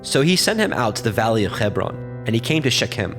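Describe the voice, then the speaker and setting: A man narrating scripture as a scripted reading, in a measured, solemn storytelling manner. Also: mid-range voice, big pitch swings, over music.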